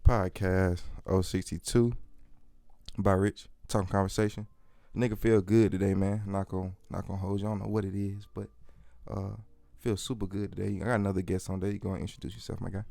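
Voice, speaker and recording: A man's voice: low-pitched, level tone, fairly quick, creaky, dark tone, no echo. A man with an Atlanta accent hosting a casual podcast, rambling through stories in slangy, profanity-laced talk. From Atlanta area, United States. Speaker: podcast host.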